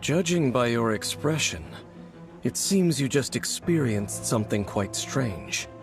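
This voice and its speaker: A man's voice: medium pitch, big pitch swings. A composed, grave game swordsman. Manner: measured, formal, stoic. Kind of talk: video game voice acting. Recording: clear music behind. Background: game music.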